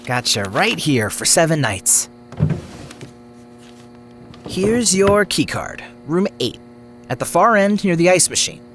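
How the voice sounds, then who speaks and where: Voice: mid-range voice, big pitch swings, takes it slowly, pauses often, background noise. An actor playing a chatty small-town motel desk clerk who talks in a folksy, easygoing way.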